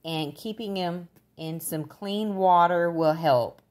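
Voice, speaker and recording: Older woman, low voice, folksy and plain-spoken, for casual home gardening how-to narration. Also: slow, darkish tone. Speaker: gardening demonstrator.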